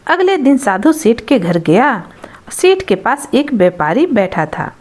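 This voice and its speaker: A woman's voice: on the high side, sing-song, measured pace, a little mellow. A woman narrating a scripted folktale as a storyteller.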